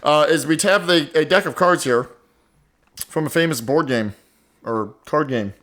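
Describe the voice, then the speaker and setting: A man's voice: on the high side, unhurried, a bit bright. A man co-hosting an improv comedy podcast in a casual, bantering, conversational way. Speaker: podcast co-host.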